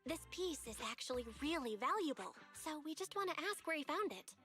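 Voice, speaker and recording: A woman voicing a friendly, composed young woman in an anime game, gentle and reassuring in manner. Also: high-pitched, animated, fairly quick, in a large hall, soft background music.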